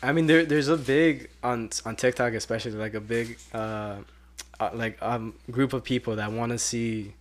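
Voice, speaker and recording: A man's voice: medium pitch, steady tone, moderate pace. A man hosting a casual podcast interview, asking curious questions with hesitant fillers. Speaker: podcast host.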